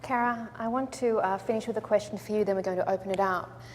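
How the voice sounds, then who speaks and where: A woman moderating a conference panel in a large, reverberant room. She speaks in a measured, inviting way as she poses questions and opens the floor. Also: medium pitch, steady tone, slight background noise. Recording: long hall reverb.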